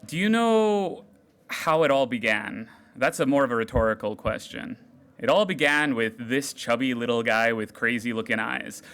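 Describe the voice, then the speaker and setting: A man giving an energetic, jokey live tech talk to a hackathon crowd on a stage microphone. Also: mid-range voice, moderate pace, clean tone. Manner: loud, animated, jokey with the crowd. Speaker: developer relations speaker. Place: large room at a hackathon event.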